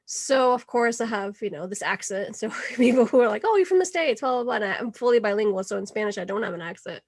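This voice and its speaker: A woman's voice: fairly high pitch, expressive, fast talker, darkish tone. A woman from Venezuela, a podcast guest, chatting animatedly and laughing often. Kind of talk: casual interview chat.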